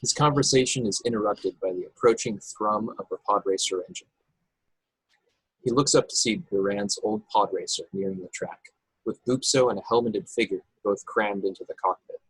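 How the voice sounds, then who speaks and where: Low, husky male narrator, very dry sound. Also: moderate pace, fairly bright tone, no room sound, low-quality recording. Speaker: narrator reading stage directions. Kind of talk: scripted narration in a live table read. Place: remote video call, dry sound.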